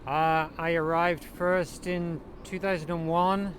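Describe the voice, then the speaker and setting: A middle-aged man with an English accent speaks in a fairly high voice. He is casual, measured and diplomatic, answering questions outdoors with noticeable traffic noise. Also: flat delivery. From Wigan area, north-west England. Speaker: interviewee. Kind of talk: casual chat. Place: outdoors in a city. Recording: noisy outdoor street with traffic.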